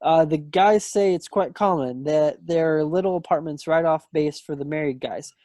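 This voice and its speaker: A man's voice: fairly high pitch, moderate pace, dark tone, no echo, lightly acted. Man playing earnest young soldier, dry sound. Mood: eager, tender. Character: Sam, earnest young soldier son, stubborn and lovestruck. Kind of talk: amateur play table read. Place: indoors, video call. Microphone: video call.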